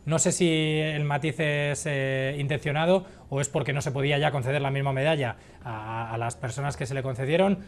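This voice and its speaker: Quick male news reporter. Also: medium pitch, steady tone. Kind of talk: studio news conversation.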